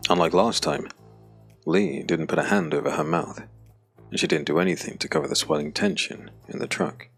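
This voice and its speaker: A man narrating a scripted dark fantasy audiobook with a deep, gravelly voice. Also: big pitch swings, moderate pace, soft background music.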